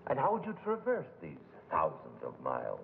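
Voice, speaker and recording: Low-voiced businesslike skeptic, formal and probing, vintage movie audio, narrow and echoing. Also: male voice, huge pitch swings, gravelly, slight background noise, heavily acted. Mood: skeptical, probing. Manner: measured, probing, formal questioning. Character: skeptical, businesslike male foundation board member. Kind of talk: 1950s film acting. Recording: boomy, tinny old film soundtrack, reverb.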